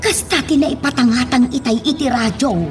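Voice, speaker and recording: A woman's voice: high voice, normal speed, music in the background, close to an everyday voice. A woman acting expressively in an Ilocano radio drama. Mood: worried. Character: female household figures and a demanding town mayor.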